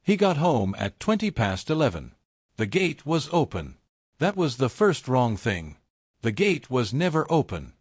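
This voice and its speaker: Male narrator for dramatic fiction; lively intonation, very dry recording. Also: medium pitch, unhurried, husky, no room sound. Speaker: audiobook narrator. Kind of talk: scripted dramatic story narration.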